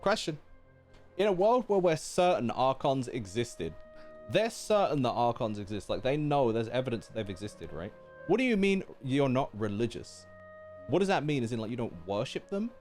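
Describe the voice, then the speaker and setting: A man's voice: mid-range voice, big pitch swings, moderate pace, darkish tone, soft background music. A man giving casual live reactions as he streams a game, chatty and questioning things aloud.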